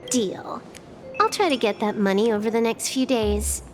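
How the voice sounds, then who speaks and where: A woman's voice: a little high, expressive, normal speed, lightly acted. A woman voicing a sly, playful young female con artist in a video game, teasing and nonchalant. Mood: teasing, bold. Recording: game music underneath.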